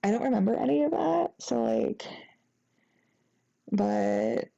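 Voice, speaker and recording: A young woman playing a sheepish, apologetic ex-girlfriend in an intimate audio roleplay, talking haltingly and rambling with embarrassment in a soft voice. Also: high voice, huge pitch swings, vocal fry, breathy edge, highs cut off, full character voice. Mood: flustered, apologetic. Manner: halting, rambling, embarrassed, breaking into nervous giggles. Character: sheepish, flustered, apologetic young ex-girlfriend after a drunken night. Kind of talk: intimate acted phone-call roleplay.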